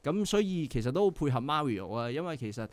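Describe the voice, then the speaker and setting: A man's voice: mid-range voice, big pitch swings, brisk, no room sound, soft background music. A young man with a Hong Kong Cantonese accent, a band member guest on a radio show, explaining earnestly with many filler sounds. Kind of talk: casual radio interview. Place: radio studio.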